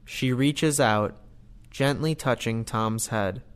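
Male narrator for audio play: medium pitch, measured slow pace, reads scripted stage directions. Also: clean tone.

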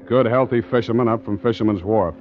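An actor playing a tough, wary American sea captain and narrator in a 1940s radio drama, with a clipped, wry delivery. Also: male voice, lowish voice, brisk, close to an everyday voice. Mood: dry, hard-boiled narration. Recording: dull old radio recording, organ music.

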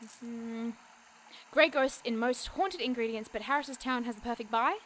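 An actress playing an earnest, excitable, bookish young woman sleuth in an audio drama, speaking precisely and muttering as she puzzles. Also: fairly high pitch, normal speed, a little hiss or hum, close to an everyday voice. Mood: puzzled.

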